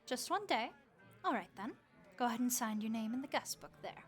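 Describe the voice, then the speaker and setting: A woman voicing a friendly, motherly vampire innkeeper who speaks gently and reassuringly to the listener in an audio roleplay. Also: on the high side, lively intonation, brisk, soft background music, natural voice. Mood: welcoming.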